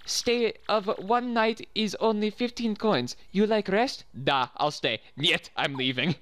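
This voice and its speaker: A man's voice: high voice, a little slow, fairly bright tone, clear, resonant tone, no echo, pitched above the performer's own voice. A man voicing a hospitable male bomb-creature innkeeper with a Russian accent and clipped, broken phrasing. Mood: welcoming.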